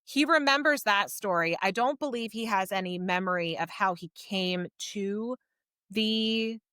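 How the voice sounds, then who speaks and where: A woman's voice: mid-range voice, a little slow, sharp tone, smooth, no echo, studio quality. A woman co-hosting a book podcast, explaining things in a casual, conversational way and animatedly paraphrasing characters. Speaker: podcast co-host reviewing books.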